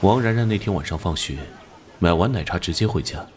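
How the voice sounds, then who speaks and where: An actor playing a hot-tempered police squad captain, brusque and businesslike, in a Chinese animated drama. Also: male voice, low voice, a little fast, slight background noise, close to an everyday voice.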